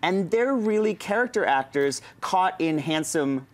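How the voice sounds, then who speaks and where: A man's voice: a little high, animated, a little slow. A man chatting casually and giving his opinions as a panelist on a film talk show.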